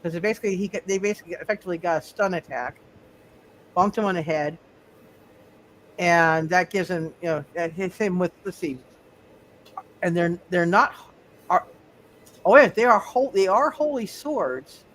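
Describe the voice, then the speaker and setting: A man refereeing a casual tabletop roleplay, halting and often repeating his words and phrases. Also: high-pitched, fairly bright tone, a little hiss or hum, rough recording. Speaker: game master of a live superhero tabletop roleplay. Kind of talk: casual, improvised game refereeing.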